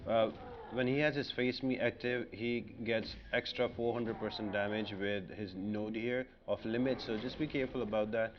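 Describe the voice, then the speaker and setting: Man giving game commentary over clear noise. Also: on the low side, steady tone, moderate pace, dull audio. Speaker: game guide host. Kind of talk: explanatory video game walkthrough commentary.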